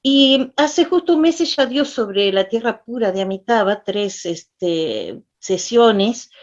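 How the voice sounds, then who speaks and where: A woman's voice: on the high side, very animated, takes it slowly. A woman from Argentina with an Argentine Spanish accent, chatting casually on a video call and searching for her words as she asks about images. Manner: rambling, hesitant, searches for words. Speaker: participant in online Dharma session. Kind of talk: casual conversation, asking questions. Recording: dull lo-fi video call audio.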